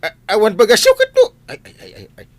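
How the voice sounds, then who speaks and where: Actor as excitable nosy villager, Ilocano accent, hugely swooping pitch, exclamatory, comic radio drama. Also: quick pace. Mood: surprised. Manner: exclamatory, reacts with loud surprise.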